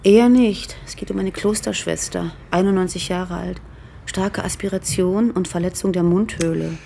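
An actress playing a thoughtful, matter-of-fact police investigator in a radio drama, with sober, dry delivery. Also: female voice, lowish voice, fairly even intonation, moderate pace, creaky, warm tone, a little hiss or hum.

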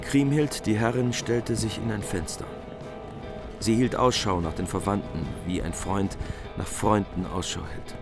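A man narrating a medieval epic in calm, measured prose for a radio play. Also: on the low side. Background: music.

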